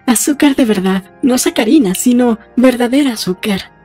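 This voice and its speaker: A woman playing a brisk, proud, rebellious young lover in chatty, conspiratorial audiobook dialogue. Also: a little high, warm tone, husky, faint music behind. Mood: excited, proud.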